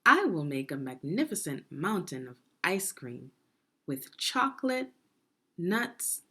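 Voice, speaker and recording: A woman's voice: fairly low pitch, very animated, takes it slowly, pushed and bright, breathy edge, full character voice. A woman reading the part of a boastful, confident chef in a children's storybook, with emphatic delivery. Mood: proud, boastful.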